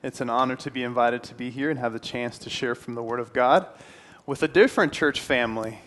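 A man's voice: mid-range voice, fairly quick, fairly bright tone. A young man in his twenties from Puerto Rico, giving a friendly, earnest church talk in a hall. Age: 20s. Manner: friendly, earnest, some restarts. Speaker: guest speaker. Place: church hall with stone fireplace. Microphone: handheld mic, then headset.

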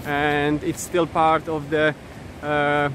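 Non-native English man in his 30s, hesitant walking-vlog host, slightly high voice, phone at arm's length, wind and stream noise behind. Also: monotone, fairly bright tone. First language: not English. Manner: hesitant, many fillers, halting pronunciation. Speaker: vlogger. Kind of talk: casual walking vlog commentary. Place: outdoors in countryside by a river. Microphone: phone held at arm's length.

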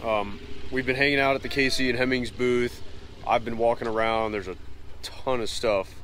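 A man's voice: medium pitch, steady tone, normal speed, fairly bright tone. A man in his twenties chatting casually as a vlog host, filming himself outdoors on a camera held nearby. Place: outdoors in an open grassy campground. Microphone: action camera at arm's length or nearby. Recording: clear outdoor ambient noise.

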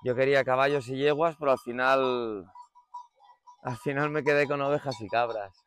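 Guy from barcelona, shepherd with a catalan-area spanish accent, medium pitched, chatting casually in an interview. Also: measured pace, fairly bright tone, faint music behind. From Barcelona, Spain. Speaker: shepherd, livestock farmer. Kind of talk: casual interview answers, personal storytelling.

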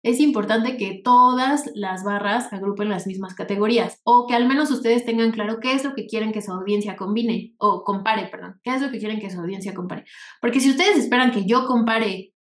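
A woman with a Mexican Spanish accent giving an informal, explanatory lecture over slides, conversational and often checking in with rhetorical questions. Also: medium pitch. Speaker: workshop instructor. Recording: echoey room sound.

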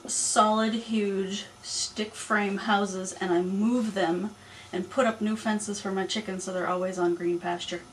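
Calm young woman farmer explaining. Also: mid-range voice, measured pace, slight background noise, low-quality recording. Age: young adult. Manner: calm, plain, explains step by step. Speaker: mushroom and poultry farmer. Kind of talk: casual interview answers. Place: small cluttered office, indoors.

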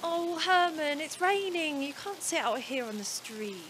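A woman's voice: high voice, expressive, a little slow, a bit bright, a little hiss or hum. A woman playing a kind, earnest, apologetic café owner in a comedy radio play. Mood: flustered, caring.

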